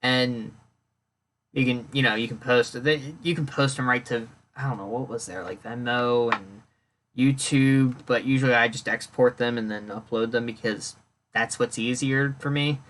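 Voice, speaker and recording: A man's voice: medium pitch, fairly even intonation, normal speed, fairly bright tone. A man hosting his own podcast alone, talking in a loose, rambling way with many fillers and restarts, sounding congested and phlegmy. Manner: rambling, self-correcting, lots of fillers. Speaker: podcast host. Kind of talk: casual solo podcast talk.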